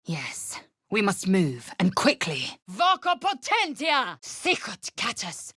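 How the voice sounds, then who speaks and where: An actress voicing a fierce, proud alien warrior woman in a fantasy video game, blunt and contemptuous, with husky, clipped, commanding, formal delivery. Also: medium pitch, wildly swooping pitch, slow, sharp tone, no echo, studio quality, full character voice. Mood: incantation, forceful. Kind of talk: dramatic fantasy game voice lines.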